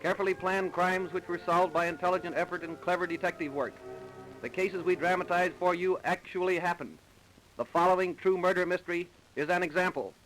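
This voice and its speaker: A man's voice: sits high, steady tone, fairly quick, fairly bright tone, low-quality recording. A man announcing a radio series in a formal, scripted 1930s style. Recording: vintage radio broadcast with music.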